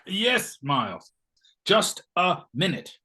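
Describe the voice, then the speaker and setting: A man playing a blustery, oblivious knighted gentleman in a comic stage play, sounding puzzled. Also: high voice, very animated, slow pace, heavily acted. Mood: breezy, oblivious. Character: bewildered, blustery English-style knighted gentleman, oblivious husband.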